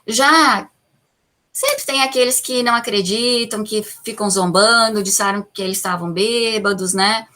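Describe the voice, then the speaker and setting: A woman's voice: fairly high pitch, unhurried, bright, forceful tone. A Brazilian woman teaching a classroom Bible lesson, explaining patiently, asking the class questions and answering them herself, tagging sentences with little checks. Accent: Brazilian Portuguese. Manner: peppers sentences with "tá?" and "né?".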